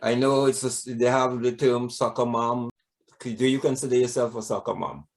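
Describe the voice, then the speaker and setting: A man's voice: medium pitch, fairly even intonation, moderate pace. A man hosting an interview, asking friendly, rambling questions full of fillers. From Trinidad. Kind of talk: casual interview.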